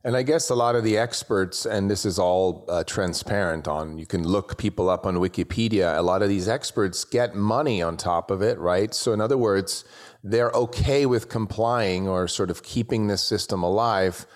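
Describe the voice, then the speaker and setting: Low-pitched man hosting an interview. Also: moderate pace. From Switzerland. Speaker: interviewer, show host. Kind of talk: conversational interview.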